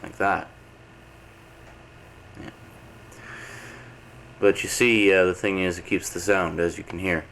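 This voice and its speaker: Deep-voiced man rambling on camcorder tape. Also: little pitch movement, slow, pauses often, a bit bright. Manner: rambling, lots of uh and restarts. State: coming down with a cold. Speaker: video letter host. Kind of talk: casual rambling chat to camera. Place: indoor home program room. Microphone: camcorder mic. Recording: noisy early-90s camcorder tape.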